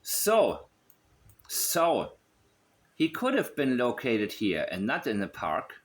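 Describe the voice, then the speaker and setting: A man's voice: mid-range voice, huge pitch swings, slow. Male online host, mid-range voice, unscripted and musing, reasons aloud step by step and often repeats points. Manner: musing, thinking aloud, repetitive. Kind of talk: unscripted commentary while examining a map.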